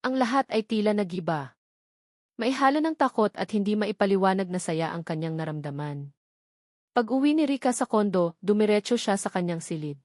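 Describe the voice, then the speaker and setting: A woman's voice: mid-range voice, animated, normal speed, darkish tone, no echo, studio quality. A woman reading a scripted romance story as a dramatic narrator.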